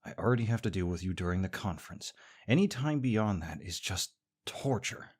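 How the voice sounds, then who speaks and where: A man's voice: deep voice, expressive, fairly quick, breathy edge. A young man performing a scripted audio roleplay as a sarcastic, teasing rival co-worker. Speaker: voice actor playing a smug rival co-worker.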